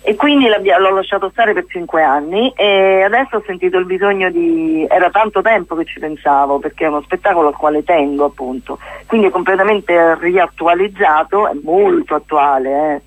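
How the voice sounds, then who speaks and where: A woman, chatting as a playwright-actress guest in a casual radio interview, often halting and rephrasing herself. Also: on the low side, no room sound, rough recording. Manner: chatty, halting and self-correcting, with amused asides. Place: radio studio.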